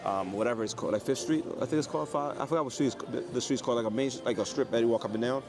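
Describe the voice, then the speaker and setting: A man with a low voice chatting casually and rambling to his livestream viewers as he walks through an airport terminal. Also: very fast, over music. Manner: rambling, joking, talks quietly. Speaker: IRL livestreamer. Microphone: phone or camera carried while walking. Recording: noisy phone livestream audio.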